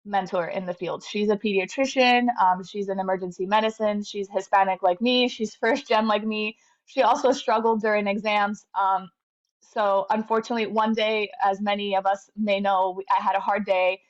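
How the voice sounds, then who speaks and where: A woman in her thirties, a guest in an interview, tells her story in an earnest, reflective way, with many fillers and restarts. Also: medium pitch. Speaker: interview guest, medical graduate. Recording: slightly dull lo-fi audio.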